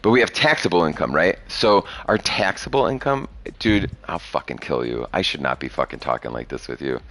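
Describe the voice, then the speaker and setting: A man's voice: a bass voice, expressive, brisk, vocal fry, a bit bright. A man in his thirties talking bluntly and profanely in a rambling casual podcast chat. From Chicago area. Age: 30s. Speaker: podcast host.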